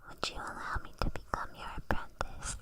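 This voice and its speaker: A woman playing a sly witch who tutors an apprentice in a whispered ASMR roleplay, speaking slowly with soft, deliberate teasing. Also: lightly acted. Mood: teasing, faintly menacing. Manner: slow, softly teasing, deliberate.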